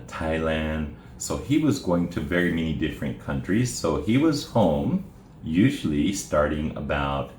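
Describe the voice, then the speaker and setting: A middle-aged man from Japan, a native Japanese speaker, giving an informal spoken presentation in a measured, plain way with frequent "uh" pauses. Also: extremely low pitch. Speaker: presenter telling his life story. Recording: roomy echo, faint background hiss.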